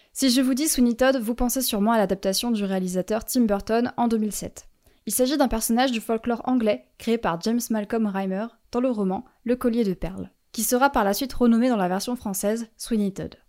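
Mellow-voiced woman hosting a radio show. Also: a little high. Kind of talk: scripted radio chronicle reading. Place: radio studio.

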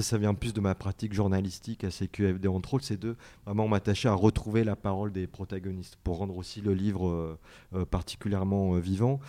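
A low-pitched man with a dark, mellow voice answers audience questions about his book. He talks in halting stretches, full of fillers and restarts, as he gathers his thoughts. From France. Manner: hesitant, many fillers and restarts. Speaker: author and journalist.

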